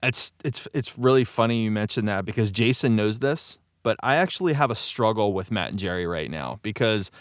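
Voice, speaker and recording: A man with a clear, smooth voice in a casual panel chat. He talks like an animated storyteller, and the sound is close and dry. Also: medium pitch, no room sound. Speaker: voice actor discussing his role.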